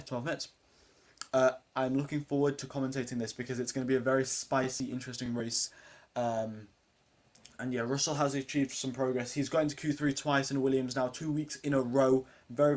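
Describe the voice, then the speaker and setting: Deep-voiced teenage boy rambling on a live stream. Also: darkish tone. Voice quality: deep voice for his age. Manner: rambling, full of ums and uhs, answers chat questions. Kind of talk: casual live watchalong commentary.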